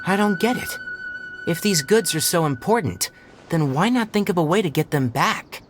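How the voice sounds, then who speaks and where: A man's voice: high-pitched, expressive, normal speed, faint music behind. A man playing a composed, blunt young forest ranger and healer in a game, explaining things in an articulate, matter-of-fact way. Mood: puzzled, critical. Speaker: forest watcher. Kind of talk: English game dub dialogue.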